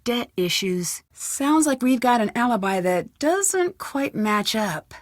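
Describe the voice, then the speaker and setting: An actress playing an inquisitive young amateur detective, polite but direct, in a video game. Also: female voice, on the high side, very animated, takes it slowly, no room sound, studio quality. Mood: probing.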